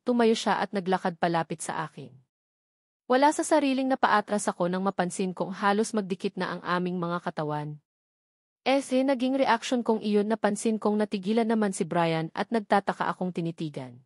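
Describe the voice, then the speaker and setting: Female Filipino narrator for serialized romance audiobook, medium pitch, dry recording. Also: expressive, moderate pace, a little mellow, no echo. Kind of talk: dramatic scripted audiobook narration.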